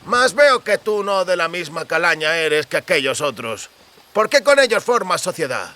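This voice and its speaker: A man's voice: fairly high pitch, very animated, moderate pace, bright, forceful tone, pitched above the performer's own voice. A man voicing a haughty mercenary soldier with archaic, emphatic phrasing in a fantasy audiobook. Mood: grave, admonishing. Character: gruff, mustached mercenary soldier, haughty and approving.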